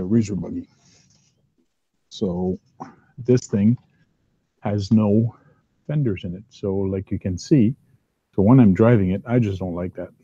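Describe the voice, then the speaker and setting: Low, dark-voiced man rambling at his bench. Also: no room sound, muffled recording. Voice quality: big voice. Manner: rambling, with many ums and uhs. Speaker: host. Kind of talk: casual chat while working at a hobby bench. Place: downstairs workbench at home.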